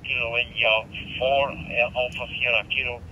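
A man's voice: medium pitch, level tone, moderate pace, slight background noise. A Belgian man with a Flemish accent, Dutch his first language, chatting over a ham radio link. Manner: chatty, laughs between phrases. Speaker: radio operator. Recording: dull radio transmission.

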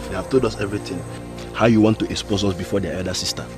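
A man voicing a blunt young male friend with a Nigerian accent, speaking in a lowered gruff voice. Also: steady tone, very fast, music in the background, lightly acted. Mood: accusing.

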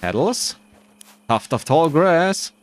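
A man's voice: on the high side, big pitch swings, takes it slowly, faint music behind. A man giving casual commentary on his own video game playthrough, muttering to himself as he plays. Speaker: gamer narrating a playthrough.

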